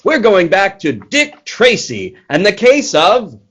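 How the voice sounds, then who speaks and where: An actor playing a gushing old-time radio announcer selling candy with emphatic, folksy delivery. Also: male voice, sits high, wildly swooping pitch, takes it slowly, a bit bright, slightly rough, exaggerated voice. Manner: salesy, emphatic.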